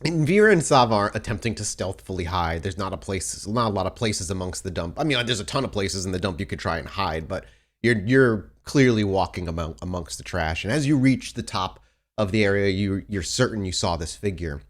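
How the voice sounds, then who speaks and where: Man, low pitch, game master, descriptive improvised narration, halting and rephrasing. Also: expressive. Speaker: game master of a tabletop roleplaying podcast.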